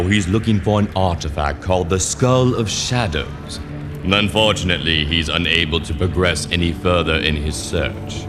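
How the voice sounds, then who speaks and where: Scheming old wizard master, very low pitch, commanding, silky, theatrical, fantasy video game. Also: male voice. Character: scheming older male wizard mentor, silkily commanding. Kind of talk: dramatic video game acting.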